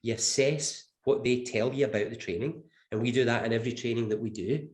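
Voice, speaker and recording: A man's voice: mid-range voice, fairly quick, roomy sound. A Scottish man with the flu speaking as a webinar panelist, earnest and emphatic, repeating his key phrases. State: has the flu. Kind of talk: webinar presentation.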